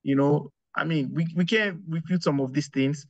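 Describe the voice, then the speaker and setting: A man in his forties hosts a chat over a video call from a small curtained room. His voice sits a bit high for a man and comes through dry and close. The sound is muffled and lo-fi, like webcam audio. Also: moderate pace, dry recording. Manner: thoughtful, many fillers and restarts, gestures while talking. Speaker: host. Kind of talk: casual video-call discussion.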